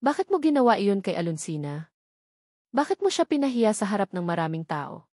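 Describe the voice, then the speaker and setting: A woman's voice: medium pitch, brisk, no room sound, studio quality. Woman narrating as a firm, troubled billionaire father. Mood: stern. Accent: Filipino. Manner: calm with firm emphasis. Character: troubled billionaire father, calm but firmly insistent.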